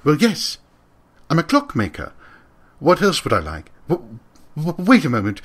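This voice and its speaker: A man's voice: on the high side, huge pitch swings, measured pace, husky, heavily acted. A man voicing a nervous, bookish pony clockmaker with a heavy stammer, in an audio drama. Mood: flustered. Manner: heavy stammer, repeats words. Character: nervous bookish clockmaker stallion, protesting suspect. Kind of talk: audio drama character acting.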